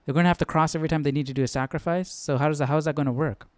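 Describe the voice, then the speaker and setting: Clear-voiced casual man, fairly high pitch. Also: steady tone, rapid-fire, no echo. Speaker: host of a Bible study. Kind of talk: casual off-the-cuff commentary.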